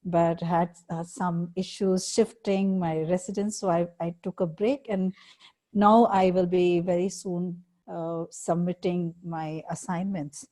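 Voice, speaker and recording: A woman with a soft-edged, mellow voice speaks a little low. She gives a casual introduction on a group call. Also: level tone, unhurried.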